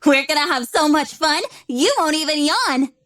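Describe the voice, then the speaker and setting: A woman's voice: sits high, normal speed, fairly bright tone. A woman voicing spirited young female trainers in short scripted video game lines. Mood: cheerful, eager.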